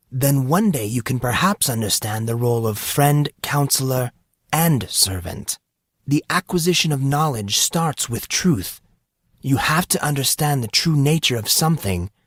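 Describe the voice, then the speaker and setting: A man's voice: medium pitch, no echo, pitched above the performer's own voice. A courteous, philosophical manservant from a fantasy audio story, played by a man. The voice is raised and swings expressively through polished, formal sentences. It sounds dry and articulate, like a patient lecturer. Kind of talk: dramatised audiobook dialogue.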